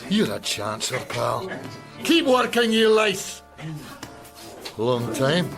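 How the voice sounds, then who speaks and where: A man playing a gruff, scornful working-class boxing trainer with a blunt manner in a crime radio drama. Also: fairly high pitch, sing-song, takes it slowly, over music, heavily acted. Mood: barking. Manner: blunt, barking.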